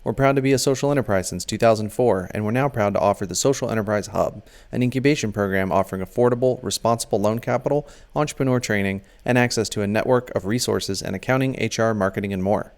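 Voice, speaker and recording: A male announcer reads scripted promotional copy. His voice is fairly low and he moves through the words quickly. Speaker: sponsor message announcer.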